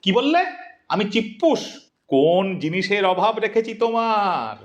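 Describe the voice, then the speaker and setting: A man's voice: high voice, sing-song, moderate pace. A man playing a henpecked but stubborn retired Bengali husband and father in an audio drama, moving between hesitant pleading and indignation. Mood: indignant.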